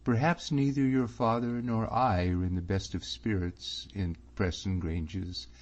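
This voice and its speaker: A man's voice: lowish voice, little pitch movement, unhurried, close to an everyday voice. A man reading an audiobook, voicing an earnest young man who pleads anxiously, close to his own voice. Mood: uneasy. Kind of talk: audiobook character voice. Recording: slightly muffled recording.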